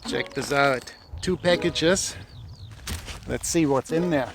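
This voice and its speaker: German-accented man with a creaky voice. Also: a little high, slow pace, background noise. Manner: informal, conversational. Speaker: fishing channel host. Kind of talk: casual gear review to camera. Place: outdoors on an open beach by the water.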